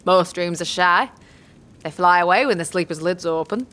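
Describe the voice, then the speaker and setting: A woman's voice: fairly low pitch, normal speed, fairly bright tone, close to an everyday voice. A woman voicing a squat, grey old midwife with a cackling laugh and folksy, old-fashioned speech. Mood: wise. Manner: folksy archaic speech, spits and scolds. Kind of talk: audio drama acting.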